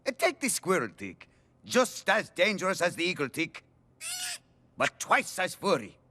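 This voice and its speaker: Slow, pompous cartoon TV doctor. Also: male voice, high voice, wildly swooping pitch, exaggerated voice. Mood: solemn. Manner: pompous, stilted. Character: pompous male TV doctor giving safety advice. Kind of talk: mock public service announcement. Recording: loud music, crowd noise behind.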